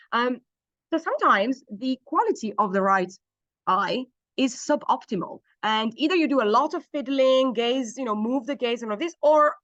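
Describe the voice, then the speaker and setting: A woman's voice: a little high, a bit bright, no room sound, lo-fi sound. A woman researcher answering workshop questions, thinking aloud with many fillers and restarts. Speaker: eye-tracking researcher presenting a workshop.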